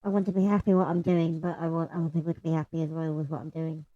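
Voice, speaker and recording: A woman's voice: low voice, little pitch movement, fast talker, no room sound. A woman taking part in a tabletop roleplaying game as a player, speaking in casual chat and light roleplay. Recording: dull, dry lo-fi audio.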